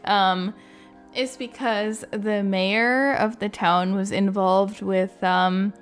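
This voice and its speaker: A woman hosting a gaming video, giving casual, unscripted reaction and ranking commentary in an informal, conversational way, thinking aloud. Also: mid-range voice.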